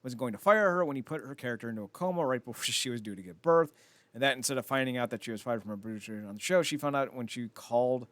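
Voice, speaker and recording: A man's voice: mid-range voice, fast talker, a bit bright, no echo. A man chatting casually as a podcast host, rambling, opinionated and freely profane. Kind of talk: casual podcast chat about films and entertainment news.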